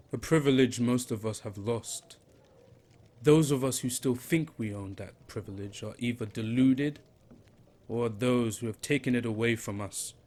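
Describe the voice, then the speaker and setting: A man playing Solomon, a cryptic stranger who watches people from a tavern corner and speaks in slow, leading questions. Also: mid-range voice, a little mellow.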